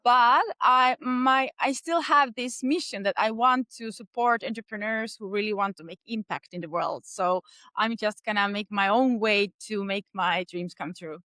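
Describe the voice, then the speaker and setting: A woman's voice: medium pitch, normal speed, pushed and bright, dry recording. A woman in her thirties with an Estonian accent, answering interview questions earnestly with many hesitations. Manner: frequent hesitations and restarts, earnest. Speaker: podcast guest.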